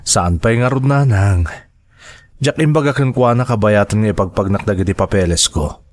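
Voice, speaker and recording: Man acting gentle, low-voiced father. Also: normal speed, no room sound, natural voice. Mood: earnest, hesitant. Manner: gentle, earnest, coaxing. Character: devoted adult father and dutiful son, gentle, earnest. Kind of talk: radio drama acting.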